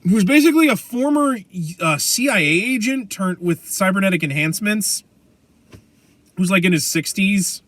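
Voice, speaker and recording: A man with an American accent co-hosting a podcast, chatting casually about pop culture in rambling, halting explanations. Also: sits high, fairly bright tone. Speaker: podcast co-host.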